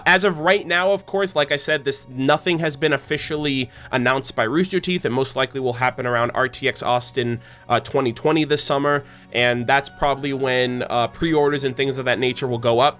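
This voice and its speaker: A man's voice: medium pitch, a little fast, few pauses, fairly bright tone. A man giving casual, enthusiastic, rambling fan commentary as a channel host. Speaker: host of a fan channel about an animated series.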